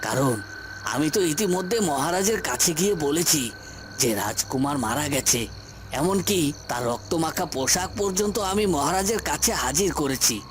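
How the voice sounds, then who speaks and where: A man's voice: a little high, expressive, normal speed, background noise, natural voice. A man voicing a scheming, power-hungry villain in a cartoon fairy tale, coaxing and insistent in his demands. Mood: smug.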